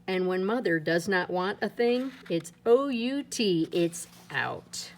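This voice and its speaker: A woman reading a rhyming children's picture book aloud in a playful, lilting way, her pitch swinging up and down. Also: expressive, slow. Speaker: storybook reader.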